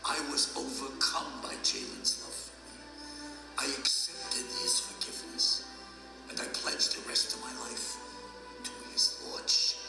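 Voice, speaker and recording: An actor playing a reformed former villain in an audio drama, with a hearty, emotional, theatrical delivery. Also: male voice, mid-range voice, fairly even intonation, unhurried, vocal fry. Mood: heartfelt, overcome. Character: reformed former villain, once gruff and wicked, now joyfully emotional. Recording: very echoey hall, music behind.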